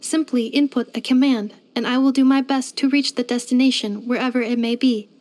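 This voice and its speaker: Woman doing a polite robot helper girl from a video game, high voice, very precise and formal, devoted to her people. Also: fairly even intonation, brisk, a little mellow, close to an everyday voice. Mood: earnest, devoted. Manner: formal, precise, computer-like phrasing. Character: polite, devoted female household robot companion. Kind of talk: scripted video game character voice lines.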